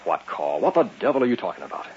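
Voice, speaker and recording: Curt, troubled radio-drama lead with a very low, gravelly voice, muffled old recording with music behind. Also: male voice, sing-song, fast talker, darkish tone, a little hiss or hum. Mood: agitated. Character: troubled man, tense, curt, fearing he is hunted.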